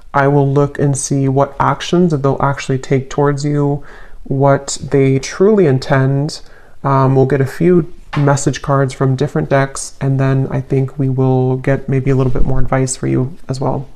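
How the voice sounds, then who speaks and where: A woman giving a casual tarot reading as host, musing aloud in a halting, tentative way. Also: extremely low pitch, warm tone. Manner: halting, musing, hedging delivery. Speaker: tarot reader, channel host.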